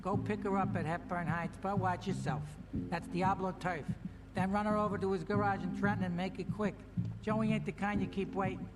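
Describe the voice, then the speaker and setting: Actor as high-voiced sleazy American mob boss. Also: male voice, steady tone, fairly quick, very noisy, close to an everyday voice. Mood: brisk, warning. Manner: streetwise, bossy, slangy. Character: sleazy middle-aged mob club boss giving orders. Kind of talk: video game cutscene acting. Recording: game audio with loud music behind.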